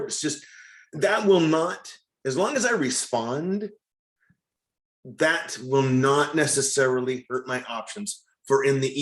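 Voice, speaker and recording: Halting man with a mid-range voice. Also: medium pitch, a bit bright. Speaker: trainee asking a question. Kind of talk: conversational question in online Q&A. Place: online group call.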